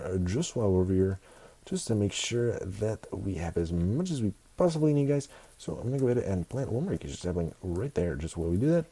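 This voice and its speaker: A young man with a stuffy nose from a cold, casually narrating his building game, excitable and fond of repeating words. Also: on the low side, breathy edge. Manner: excitable, repeats words, keeps saying guys. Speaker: gaming video host. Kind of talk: casual Minecraft commentary.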